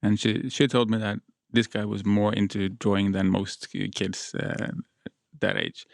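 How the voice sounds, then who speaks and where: A man's voice: fairly low pitch, level tone, measured pace, vocal fry, no room sound, studio quality. A man in his thirties, an artist and panel guest, telling his story in a reflective, thoughtful way. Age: 30s. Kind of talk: casual storytelling.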